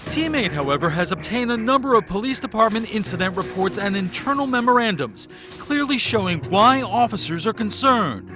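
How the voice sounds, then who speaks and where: American male investigative TV reporter, high-set voice, scripted narration over a prominent sound bed. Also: expressive, brisk, over music. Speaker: television news reporter.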